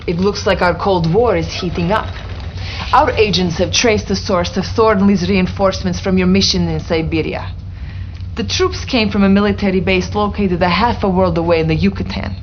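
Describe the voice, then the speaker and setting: An actress playing a grave, patriotic Soviet intelligence officer giving dramatic briefings in a game cutscene. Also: female voice, mid-range voice, normal speed, lo-fi sound, a little hiss or hum, natural voice. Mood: grave, urgent.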